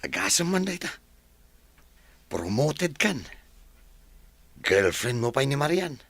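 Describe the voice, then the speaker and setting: An actor with a Filipino accent playing a friendly, curious young buddy in a radio drama. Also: male voice, mid-range voice, big pitch swings, slow, vocal fry, a bit bright, no echo, exaggerated voice. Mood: impressed, joking.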